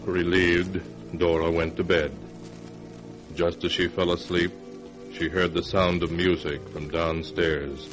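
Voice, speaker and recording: An actor playing an ominous narrator of horror tales, speaking solemnly and dramatically in 1940s radio style. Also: male voice, low voice, flat delivery, slow pace. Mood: eerie. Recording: old 1940s radio recording. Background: music.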